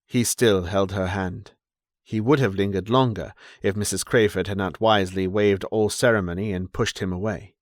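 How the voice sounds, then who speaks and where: Low-voiced man narrating a classic novel. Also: fairly even intonation, no room sound, clean studio sound. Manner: measured, dramatic storyteller. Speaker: audiobook narrator. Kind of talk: scripted literary narration.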